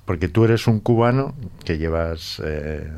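A man's voice: low voice, animated, a little slow, a little mellow, clean tone. A man hosting a music interview in a conversational style, putting questions to his guest. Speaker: interviewer, music show host.

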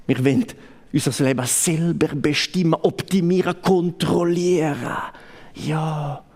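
A man with a Swiss Italian accent performing a satirical cabaret monologue on stage. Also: fairly high pitch.

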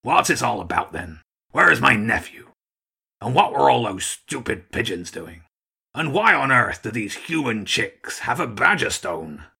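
Storybook old seagull archduke, played by a male reader: husky, gruff, commanding, blustering yet canny. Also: mid-range voice, sing-song, unhurried, pushed and bright, full character voice. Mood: angry, commanding. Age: older. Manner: blustering, barking orders.

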